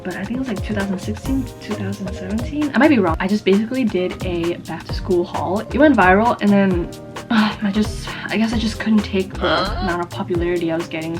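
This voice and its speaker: A woman of twenty-four from the East Coast of the United States, whose first language is Vietnamese, chatting casually to her viewers in a rambling, self-mocking way with lots of fillers. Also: mid-range voice. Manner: chatty and rambling, many fillers, quick bursts, self-mocking jokes, frequent laughs. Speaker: YouTuber. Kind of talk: casual get-to-know-me chat to camera. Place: her own room at home.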